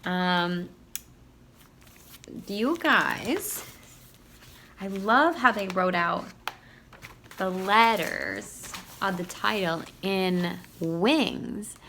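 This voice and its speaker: A woman reading a picture book aloud to young children in an animated, teacherly way. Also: medium pitch, very slow, pauses often, slight background noise. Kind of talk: expressive children's read-aloud.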